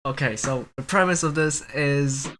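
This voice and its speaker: A young man hosting a casual podcast, telling stories in a rambling, halting way. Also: fairly high pitch, fairly bright tone, a little hiss or hum. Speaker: podcast host.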